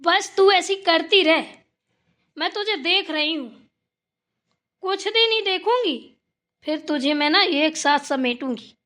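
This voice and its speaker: A woman's voice: very high-pitched, expressive, slow pace, long pauses, fairly bright tone, exaggerated voice. A woman voicing a scolding, short-tempered village mother-in-law in a Hindi family cartoon, nagging and sarcastic. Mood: threatening.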